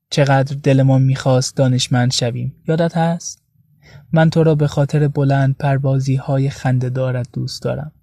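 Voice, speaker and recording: A man's voice: medium pitch, mellow, studio quality. A man reading scripted, intimate prose expressively, with a tender and wistful delivery. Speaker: podcast narrator. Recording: roomy echo, faint music behind.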